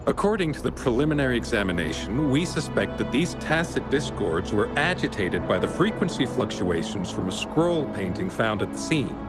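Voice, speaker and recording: Man acting an animated, formal investigating official. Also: mid-range voice, over loud music, lightly acted. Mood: composed, official. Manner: formal, measured, reassuring. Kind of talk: video game character dialogue.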